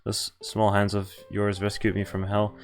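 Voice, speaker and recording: Low-voiced man streaming a game. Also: monotone, normal speed. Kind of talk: live playthrough, reading on-screen story text aloud. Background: game music.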